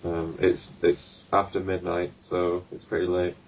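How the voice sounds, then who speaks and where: A man's voice: low voice, completely flat delivery, a little slow, slight background noise. A man narrating a tabletop game as game master, hesitant and full of ums, on a distant dull mic. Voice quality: hesitant, filler-heavy.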